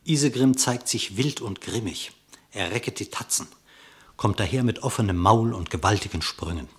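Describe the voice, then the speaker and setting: A man's voice: lowish voice, moderate pace, background noise. A man narrating an epic animal fable in verse for a radio play, with dramatic, vivid storytelling.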